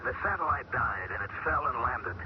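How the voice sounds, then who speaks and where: An actor playing a man taken over by an alien mind, who talks calmly and measures his words in an old radio drama. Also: medium pitch, close to an everyday voice. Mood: eerie. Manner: calm, measured, searching for words. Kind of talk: 1970s radio drama acting. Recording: dull old radio broadcast with loud hiss.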